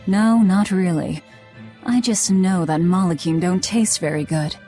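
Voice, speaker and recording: A woman's voice: lowish voice, measured pace, dark tone, soft background music, natural voice. An actress playing a hardened young woman bent on revenge in a fantasy game, with a terse, commanding way of talking. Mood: cool, wry. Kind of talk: dubbed fantasy game acting.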